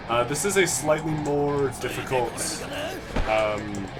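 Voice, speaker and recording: Nasal, stuffy-voiced male streamer. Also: mid-range voice. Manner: relaxed, explanatory, rambling. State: recovering from a cold. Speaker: game streamer. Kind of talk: casual let's-play commentary.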